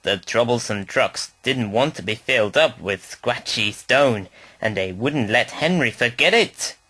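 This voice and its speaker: A man's voice: medium pitch, lively intonation, measured pace, pushed and bright, slightly rough, dry recording. A man reading a scripted children's story aloud as narrator.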